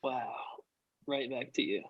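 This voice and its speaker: An actor as a husky, skeptical male friend. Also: mid-range voice, steady tone, slow pace, dull audio. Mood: wry. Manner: questioning, wry. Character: skeptical, blunt, nitpicking friend of the son. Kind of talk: comedy script table read.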